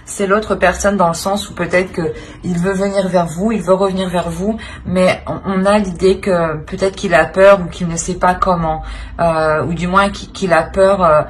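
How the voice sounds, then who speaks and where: A woman's voice: on the low side, fairly even intonation, moderate pace, slight background noise. A woman giving an improvised tarot reading to viewers, speaking hesitantly and often repeating and rephrasing as she searches for words. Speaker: tarot reader, channel host.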